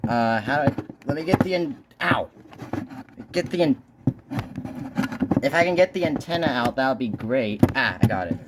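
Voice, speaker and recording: A man's voice: medium pitch, lively intonation, moderate pace, long pauses, heavy background noise. A man hosting an amateur gadget video in casual, unscripted talk, muttering informally as he fiddles.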